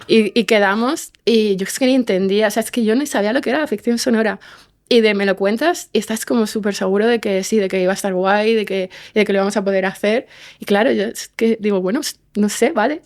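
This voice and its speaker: A woman's voice: medium pitch, fast talker, darkish tone. A woman with a Spain Spanish accent chatting casually as an interview guest, colloquial and exclamatory as she tells stories. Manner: colloquial, exclamatory, quotes herself. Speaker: podcast guest, fiction writer. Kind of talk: casual chat, storytelling.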